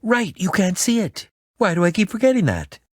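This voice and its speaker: A man's voice: high-pitched, big pitch swings, moderate pace, a little mellow, no room sound, studio quality. An actor playing an eccentric, self-important man who fancies himself a ninja in a video game, speaking in a pompous, dramatic, wordy manner. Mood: secretive, self-important. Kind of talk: animated video game voice acting.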